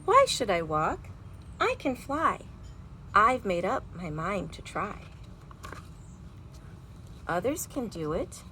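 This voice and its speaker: A woman voicing a small, nervous, excitable baby robin in a dramatic storybook read-aloud. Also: medium pitch, slow pace, long pauses, exaggerated voice. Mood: nervous, hesitant. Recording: outdoor background noise.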